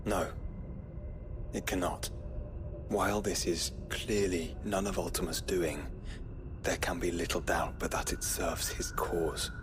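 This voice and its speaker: A man's voice: low voice, fairly even intonation, a little slow, background noise. An actor playing a thoughtful, learned male companion in a fantasy game, with formal, considered phrasing. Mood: grave, pensive. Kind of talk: video game cutscene acting.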